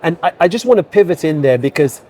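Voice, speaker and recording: A man's voice: on the high side, animated, fast, a little mellow. A man from Nigeria hosting an interview at a tech conference and asking thoughtful, curious questions. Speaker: interviewer, host.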